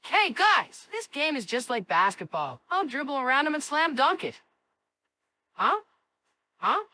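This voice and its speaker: A woman voicing a spirited, cocky and excitable cartoon kid with an exclamatory, dramatic delivery. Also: high-pitched, unhurried, slight background noise, exaggerated voice. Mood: eager, confident. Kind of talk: animated series voice acting.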